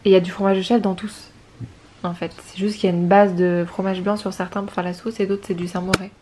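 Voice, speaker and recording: A woman's voice: medium pitch, level tone, fast talker, dark tone, slight background noise. A woman vlogging at home on a handheld camera, stuffed up with a head cold, rambling chattily and laughing often. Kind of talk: casual home vlog. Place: home, indoors.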